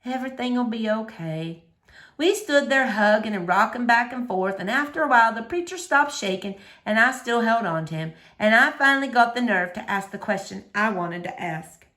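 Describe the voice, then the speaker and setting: A woman reading a children's novel aloud as expressive story narration. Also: on the high side, moderate pace, few pauses. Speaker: storybook reader.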